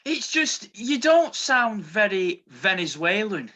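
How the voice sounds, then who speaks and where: Performer playing a wry, bright detective. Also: medium pitch, big pitch swings, takes it slowly, exaggerated voice. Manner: wry, dry. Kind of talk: stage comedy acting.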